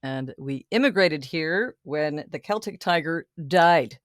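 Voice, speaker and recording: A woman's voice: low-pitched, expressive, takes it slowly, no room sound, studio quality. A woman voice actor, born in Ireland, chatting as a podcast guest and laughing easily. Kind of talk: casual interview chat.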